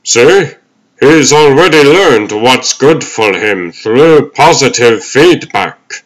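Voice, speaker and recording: A man's voice: medium pitch, animated, slow, poor audio. A man voicing a wordy old turtle showman in a comic dub, with theatrical sales patter. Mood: grandiose pitch. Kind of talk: comic dub character acting.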